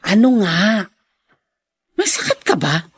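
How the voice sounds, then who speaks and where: A woman's voice: medium pitch, very animated, takes it slowly, husky, no echo, exaggerated voice. A woman playing a concerned, inquisitive older friend in a Filipino radio drama, with a Filipino accent. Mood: concerned, admiring.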